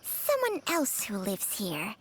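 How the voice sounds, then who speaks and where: A woman voicing a sly, ancient female snake spirit in a video game, talking low and hushed, sometimes whispering and teasing. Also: huge pitch swings, slow, husky, exaggerated voice. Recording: game audio with background music.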